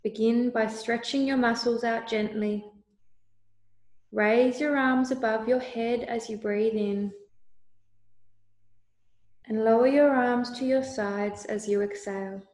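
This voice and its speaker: Calm lady doing a guided meditation, super slow and soothing, echoey room sound. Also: fairly high pitch. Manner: calm, soothing, deliberate instruction. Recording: roomy, echoey voice recording.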